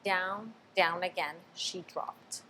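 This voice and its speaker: A woman reading a children's picture book aloud, expressive, stopping to ask the listener questions. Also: on the low side, slow, noisy background. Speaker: storybook reader.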